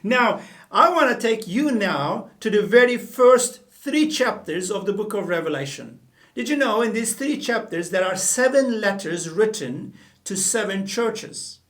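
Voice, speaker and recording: Turkish-accented man, middle-aged, earnest Bible teacher, high voice, a bit off-mic in a small studio. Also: expressive, measured pace, fairly bright tone, recorded from a distance. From Turkey. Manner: earnest, gestures with both hands, repeats and self-corrects. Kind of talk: seated Bible teaching to camera. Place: small studio room with bookshelf.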